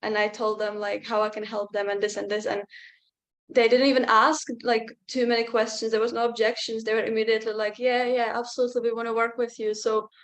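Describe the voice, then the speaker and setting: A woman's voice: on the high side, level tone, fairly quick. A young woman, giving a casual testimonial over a video call, talking hesitantly with lots of filler words. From Czech Republic. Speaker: interview guest, client.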